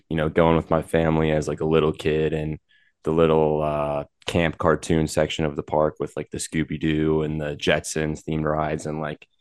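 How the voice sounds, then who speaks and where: Very deep-voiced man, flat and dry. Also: no room sound. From Wisconsin, US. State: a little sick. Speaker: guest. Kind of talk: casual interview chat.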